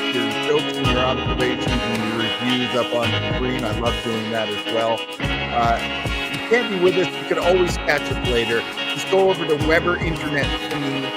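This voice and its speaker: An older man hosting an old time radio show, chatting casually with live-stream listeners in a rambling, enthusiastic way. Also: medium pitch.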